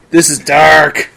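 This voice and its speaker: A man hosting a podcast in casual, joking chat, excitable and teasing. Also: on the high side. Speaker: podcast host.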